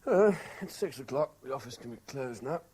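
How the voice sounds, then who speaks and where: An actor playing a troubled, guilt-ridden investigator in a 1970s radio drama, with a brooding, hesitant manner. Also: male voice, mid-range voice, animated, brisk, dark tone, breathy edge. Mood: apologetic, weary. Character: troubled, haunted male French private investigator, guilt-ridden and weary. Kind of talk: 1970s radio drama acting, with narrated diary passages.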